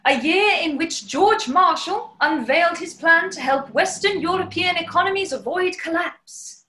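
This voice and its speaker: Woman acting a high, plucky housemaid. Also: animated, normal speed, pushed and bright, reverberant, a little hiss or hum, full character voice. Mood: announcing. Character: plucky, proper British housemaid and radio narrator. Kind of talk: 1940s comedy radio play acting.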